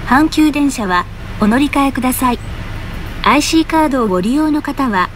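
A woman's voice: sits high, expressive, normal speed. A woman reading scripted bus stop announcements in a formal, polite, carefully enunciated way. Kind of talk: scripted public transport announcement. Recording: road and engine noise behind. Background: vehicle noise inside a bus.

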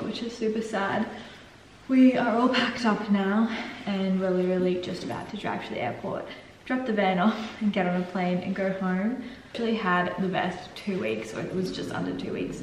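A chatty young woman talks to her camera with easy giggles. Her voice sits at an ordinary pitch. The room around her rings a little. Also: reverberant, a little hiss or hum, rough recording. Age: 20s. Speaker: travel vlogger. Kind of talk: casual vlog chat to camera. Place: holiday rental house, indoors. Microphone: handheld camera at arm's length.

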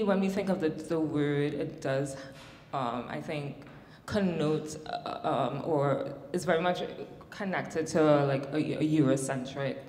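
Low-voiced Trinidadian woman in an echoey hall. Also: slight background noise. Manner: thoughtful, many fillers and restarts. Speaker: panelist, academic. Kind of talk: panel discussion. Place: large hall on stage. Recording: echoey hall with long reverb.

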